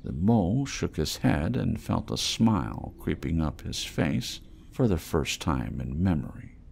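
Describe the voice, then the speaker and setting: A man's voice: deep voice, very animated, unhurried, creaky, darkish tone, slight background noise. A man reading a work of fiction aloud as an audiobook narrator.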